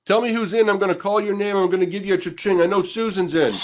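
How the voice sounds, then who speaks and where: A man co-hosting a webinar who is losing his voice, pushing a sales pitch and calling out names to rally listeners. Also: steady tone, quick pace. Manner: pushy, rallying, calls out names. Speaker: webinar co-host. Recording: dull lo-fi webinar audio.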